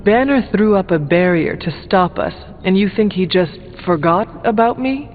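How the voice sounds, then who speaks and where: A brooding, skeptical video game hero with a high voice. The delivery is dry, formal and questioning. It rings with long reverb and sounds narrow, like a phone. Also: male voice, animated, moderate pace, soft background music. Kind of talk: scripted video game dialogue.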